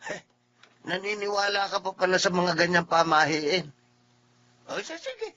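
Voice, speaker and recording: A man's voice: high-pitched, lively intonation, measured pace, bright, forceful tone, heavily acted. An actor playing a patient, good-natured father of forty in a Filipino radio drama. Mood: firm. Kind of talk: Filipino radio drama acting, first-person narration and dialogue. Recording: muffled radio-drama audio with music behind.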